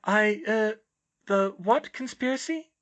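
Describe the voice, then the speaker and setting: A man's voice: sits high, expressive, slow, a bit bright, voice pushed up, exaggerated voice. A man voicing an earnest, brainy teenage girl in a story, flustered and prone to babbling. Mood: friendly, puzzled. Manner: stammering, babbling, indignant bursts.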